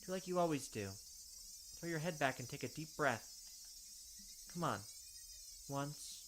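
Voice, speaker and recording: A man's voice: a little high, lively intonation, a bit bright, slight background noise. Man dramatically reading a visual novel. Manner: dramatic reading with quick asides. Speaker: let's play narrator. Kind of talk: reading visual novel text aloud with commentary.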